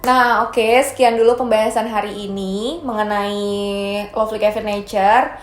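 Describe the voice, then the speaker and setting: A woman's voice: medium pitch, flat delivery, unhurried. Chatty young woman in an echoey room. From Indonesia. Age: 20s. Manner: chatty, upbeat, mixes in English phrases. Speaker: brand founder answering viewer questions. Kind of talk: casual chat. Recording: echoey room with soft music.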